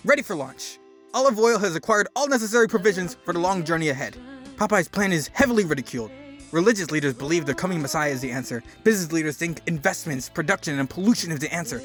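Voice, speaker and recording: High-pitched man dramatically reading a story. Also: a bit bright. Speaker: narrator doing a dramatic reading. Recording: music behind the voice. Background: music.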